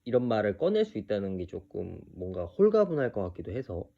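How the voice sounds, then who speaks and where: A man in his early thirties giving an unscripted personal monologue, speaking haltingly with hesitant pauses. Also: lowish voice, wildly swooping pitch, creaky, narrow, tinny audio. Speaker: video creator telling his own story.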